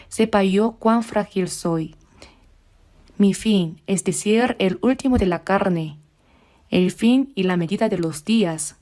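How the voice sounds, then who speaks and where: A woman delivers a sermon in a warm, mellow voice. She speaks at a measured pace and draws out the scripture passages slowly. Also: mid-range voice. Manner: measured, slows down for scripture readings. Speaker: preacher. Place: church.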